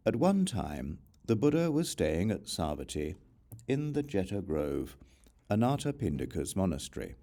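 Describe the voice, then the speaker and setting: A man reading scripture as an audiobook narrator, in a measured, formal recitation. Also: low-pitched, gravelly, dark tone. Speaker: audiobook reader.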